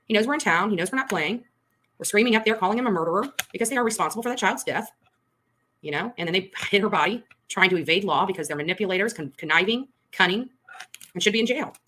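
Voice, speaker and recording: A woman's voice: medium pitch, expressive, fast talker, bright, forceful tone, a little hiss or hum. A woman giving emphatic, accusatory commentary on a livestream, repeating her points. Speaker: online case commentator.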